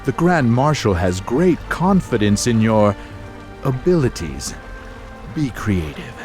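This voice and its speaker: Dry, smug military envoy. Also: male voice, mid-range voice, very animated, takes it slowly, a little mellow. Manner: formal, dryly understated. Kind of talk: fantasy video game cutscene acting. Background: faint game music.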